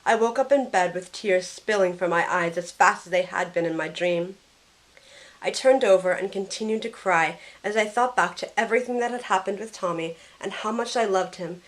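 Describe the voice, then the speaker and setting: Girl playing sad young woman telling her own love story, audio drama, earnest n emotional, mid pitch. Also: natural voice, low-quality recording. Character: grieving, guilt-ridden young woman recounting her lost love. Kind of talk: first-person audio drama narration with acted dialogue.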